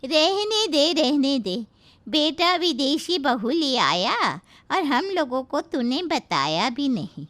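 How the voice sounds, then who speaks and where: A woman's voice: high-pitched, very animated, takes it slowly, fairly bright tone, no echo, exaggerated voice. A woman voicing a gossipy, forthright neighbourhood woman in a dramatised cartoon moral story. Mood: reproachful.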